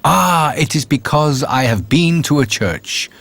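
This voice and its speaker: A man voicing a brutish, vengeful peasant in a storybook reading, gruff and menacing. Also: fairly high pitch, expressive, unhurried, a bit bright, heavily acted.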